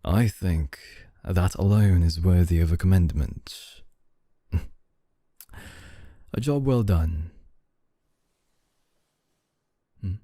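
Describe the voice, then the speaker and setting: A man voicing a dark, low, unhurried young CEO. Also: no echo, clean studio sound, exaggerated voice. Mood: smooth, welcoming. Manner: slow, deliberate, smooth formal courtesy, soft chuckles. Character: suave young male CEO, calm, possessive, quietly domineering. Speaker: voice actor. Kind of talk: ASMR audio roleplay.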